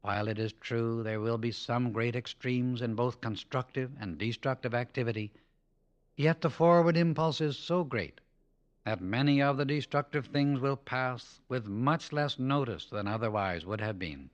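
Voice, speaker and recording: A man narrating an audiobook, reading a spiritual discourse aloud in a solemn, measured and earnest way, addressing listeners directly. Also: mid-range voice, muffled recording. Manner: measured, earnest, exhortative delivery.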